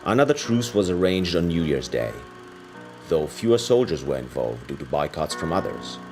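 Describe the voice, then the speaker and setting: Deep, animated male documentary narrator. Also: normal speed, soft background music. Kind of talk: scripted dramatic documentary narration.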